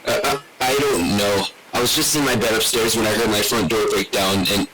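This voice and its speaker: A man's voice: fairly low pitch, fairly even intonation, brisk, over music, natural voice. A man playing a frightened homeowner, hesitant and pleading, on a noisy emergency call in a scripted drama. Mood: scared, pleading. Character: frightened male homeowner hiding from an intruder.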